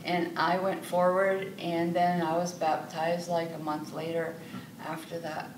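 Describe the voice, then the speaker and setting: Older woman raised in Brazil, low-ish voice, earnest, echoey far-off room sound. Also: flat delivery, measured pace. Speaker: missionary guest. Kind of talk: casual interview testimony. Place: church lounge with sofas, indoors. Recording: roomy echo, distant mic, faint hiss.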